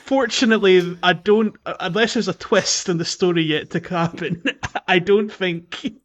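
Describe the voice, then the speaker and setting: A man's voice: high-pitched, moderate pace, a bit bright. A man co-hosting a podcast, chatting casually about games in a hesitant, rambling way. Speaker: podcast co-host. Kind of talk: casual gaming podcast chat.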